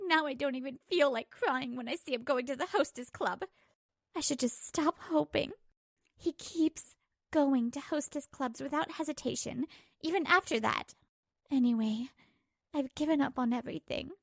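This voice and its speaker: A woman's voice: high voice, moderate pace, creaky, no echo, clean studio sound. A woman playing a hurt, betrayed young wife who narrates her troubles emotively in a manga dub. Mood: resigned, resolute. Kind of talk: dramatised first-person manga dub narration.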